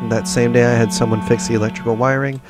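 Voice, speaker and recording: A man hosting a let's play, reading in-game notes slowly and evenly between short casual asides. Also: medium pitch, level tone, loud music behind the voice. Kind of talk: casual gameplay commentary and reading notes aloud.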